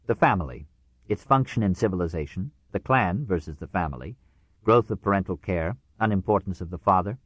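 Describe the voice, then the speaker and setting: A man narrating a nonfiction audiobook in a measured, formal way with precise phrasing. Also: low voice, no echo.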